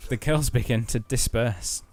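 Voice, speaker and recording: A man's voice: medium pitch, measured pace, darkish tone, no echo. A man hosting a let's play, narrating a visual novel with mocking, sweary jokes.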